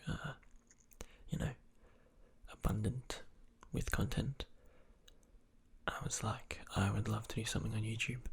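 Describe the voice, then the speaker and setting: A young man, an ASMR channel creator, answers viewer questions in a soft whisper, rambling with fillers. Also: fairly low pitch, creaky, breathy edge.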